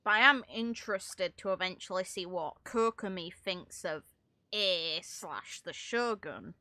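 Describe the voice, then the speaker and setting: A woman's voice: lowish voice, lively intonation, unhurried, sharp tone, no echo. A woman talking casually and analytically through game lore, with opinionated, rambling asides. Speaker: content creator commentating on a game.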